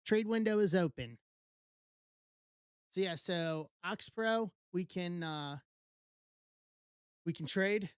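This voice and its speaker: Slow-talking woman host, dry sound. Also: lowish voice, lively intonation, clean tone, no echo. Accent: East Coast American. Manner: slow, reading out a list in short bursts. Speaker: card break host. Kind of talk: live stream chat.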